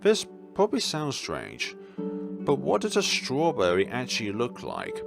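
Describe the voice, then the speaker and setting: Male reader as shy visual novel schoolgirl, anxious and sweet, hesitant stammer, lifted pitch, music behind. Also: wildly swooping pitch, unhurried, creaky, heavily acted. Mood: shyly curious. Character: timid, anxious blind schoolgirl, sweet and grateful. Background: game music.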